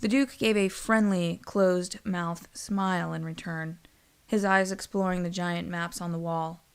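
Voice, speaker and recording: A woman narrates a dramatized fantasy story from a script. Her voice sits on the low side for a woman. It has a gravelly, creaky edge. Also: fairly even intonation, unhurried.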